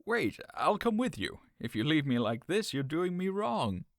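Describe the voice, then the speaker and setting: An earnest young cousin, voiced by an actor in an audio drama, coaxing and full of concern. His pitch rises and falls in a lively way, and the sound is dry and close. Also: unhurried, no echo. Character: caring young male cousin, earnest friend.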